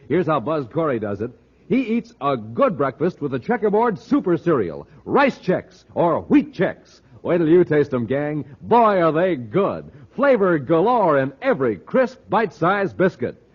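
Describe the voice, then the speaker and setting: A man's voice: a little high, big pitch swings, a little slow, slightly rough. Exuberant, swooping 1950s male radio announcer. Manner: punchy, exuberant, folksy address to youngsters. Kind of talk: 1950s radio commercial pitch. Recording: slightly muffled vintage radio recording.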